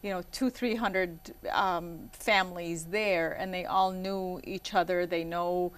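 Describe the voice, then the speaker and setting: A woman's voice: fairly low pitch, steady tone, takes it slowly. A woman in her forties, born in Iraq and raised in Michigan, is a guest on an interview show. She talks casually and rambles, with frequent ums and you-knows.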